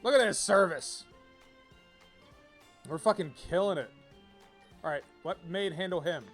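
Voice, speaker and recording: A man's voice: sits high, unhurried, fairly bright tone. A man giving casual, rambling live commentary as he streams a video game, often repeating phrases as he riffs. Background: game music.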